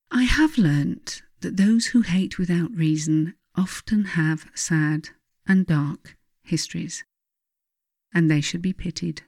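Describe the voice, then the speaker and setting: Mellow, low-key woman narrating self-help. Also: no room sound, studio quality. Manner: deliberate, earnest, addressing listener directly. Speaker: author reading own self-help book. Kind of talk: scripted audiobook narration, spiritual self-help.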